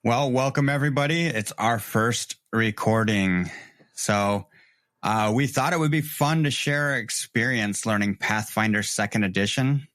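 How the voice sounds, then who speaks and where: A man's voice: on the low side, a little slow, a bit bright, no room sound. A man in his forties, the game master and host, talking casually on a podcast in an easygoing way.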